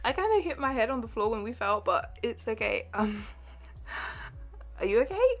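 A woman's voice: a little high, big pitch swings, moderate pace, soft background music. A young woman playing a flustered, playful artsy college girl with a crush, stammering and giggly in an intimate audio roleplay. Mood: flustered, tender. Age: young adult.

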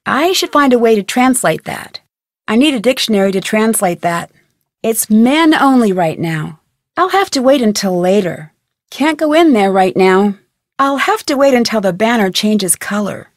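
An actress playing a plucky, curious young detective who perkily talks to herself in a video game. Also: female voice, on the high side, animated, normal speed, no room sound, clean studio sound, close to an everyday voice. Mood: matter-of-fact. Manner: perky, crisp, self-talking. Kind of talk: video game character lines.

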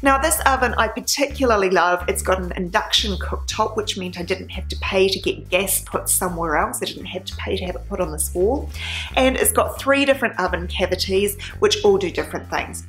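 A woman in her thirties talks straight to the camera from her tiled home kitchen, walking through her renovation choices in a chatty, enthusiastic way. Her voice sits a little low, and the hard walls give the sound a roomy echo. Soft music plays faintly underneath. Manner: chatty and enthusiastic, explaining step by step. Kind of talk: casual to-camera explanation. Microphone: camera at medium distance, facing her.

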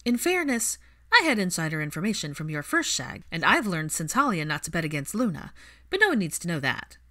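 A woman's voice: lowish voice, very animated, a little fast, pushed and bright. A woman voicing a brash, nosy young woman who teases her best friend gleefully in an audiobook. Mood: teasing. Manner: exclamatory, gleeful teasing.

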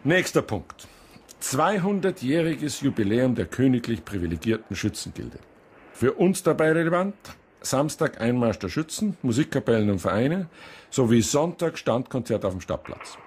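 An actor playing an officious, sardonic police chief giving a clipped briefing in a radio drama. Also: male voice, mid-range voice, very animated, normal speed, a little mellow, a little hiss or hum, lightly acted. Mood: brisk, officious. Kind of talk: naturalistic radio drama acting.

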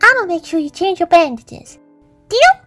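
A woman's voice: extremely high pitch, very animated, normal speed, a bit bright, faint music behind, heavily acted. A woman voicing a sweet, earnest little girl with a Southern US twang who wants to be a doctor. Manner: bubbly, earnest, childlike. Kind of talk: fan comic dub.

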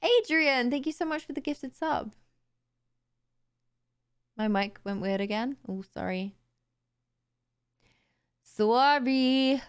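Tired, slightly raspy young streamer woman. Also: high voice. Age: young adult. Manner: chatty, bubbly, laughs easily. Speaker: live streamer. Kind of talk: casual chat thanking viewers. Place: home streaming room, indoors. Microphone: boom studio mic close to mouth.

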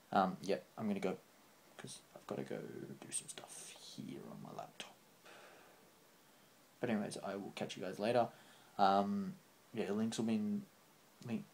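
A young man signing off his vlog, rambling off the cuff and earnest, his voice getting a bit croaky. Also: on the low side, a little mellow, slight background noise. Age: young adult. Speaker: channel host. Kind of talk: unscripted vlog sign-off. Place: small room indoors, on a couch.

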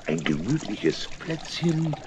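A man's voice: medium pitch, big pitch swings, takes it slowly, noisy background. A man acting a sly, chummy vagabond in a children's radio play, with a drawling, folksy, wheedling manner. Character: sly, chummy male tramp, rough vagabond.

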